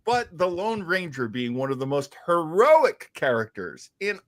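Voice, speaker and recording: Animated, unhurried man hosting a show. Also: a little high, no echo. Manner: animated, deliberate storyteller with emphatic repetition. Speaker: radio show host. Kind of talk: casual storytelling talk show.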